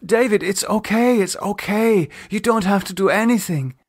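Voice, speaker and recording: Man voicing a high-pitched, worried, caring woman. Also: normal speed, breathy edge, no room sound, studio quality. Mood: concerned, reassuring. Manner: concerned, coaxing. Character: worried, caring young woman, firm but soothing.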